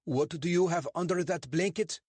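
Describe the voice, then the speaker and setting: A man voicing a portly, suspicious English constable who questions in a sharp, demanding way. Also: a little high, normal speed, slightly rough, no echo, voice pushed up.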